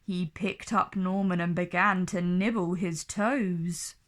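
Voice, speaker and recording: A woman storyteller reading a children's book aloud in an expressive, deliberate and dramatic narrating style. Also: fairly low pitch.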